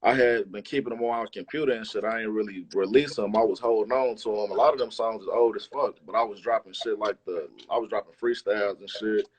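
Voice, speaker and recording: Low-voiced slangy man chatting casually. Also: fairly even intonation, fast talker, few pauses. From Chicago, United States. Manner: slangy, profane, informal. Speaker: rapper, interview guest. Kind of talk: casual chat. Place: remote livestream interview.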